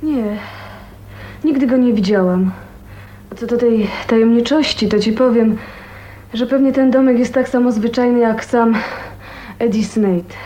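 An actress playing a gentle, resigned, ailing young woman in a radio drama, hesitant and soft-hearted. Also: on the high side, measured pace, long pauses, natural voice. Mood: thoughtful. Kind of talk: Polish radio drama acting.

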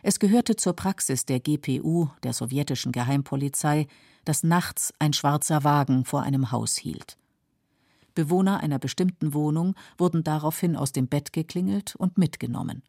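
A female documentary narrator with a deep, mellow, husky voice. She reads her script in a measured and sober way for radio, in a dry studio. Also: dry recording.

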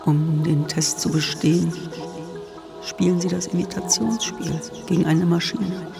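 An actress in a radio drama playing a cryptic, solemn woman who recites in a measured, enigmatic way with a creaky voice. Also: lowish voice, fairly even intonation, over music.

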